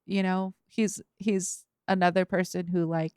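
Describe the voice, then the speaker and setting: A woman's voice: on the low side, flat delivery, unhurried, darkish tone, clear, resonant tone, no room sound, clean studio sound. A woman from Los Angeles, a rapper guest on a podcast, chatting casually with lots of fillers, restarts and laughs. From Los Angeles, USA. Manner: rambling, filler-heavy, laughs often.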